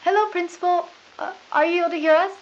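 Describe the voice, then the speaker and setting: A young woman co-anchoring a scripted school news broadcast from a studio desk, reading in an earnest, measured way. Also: extremely high pitch, steady tone. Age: young adult. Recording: lo-fi hissy old tape.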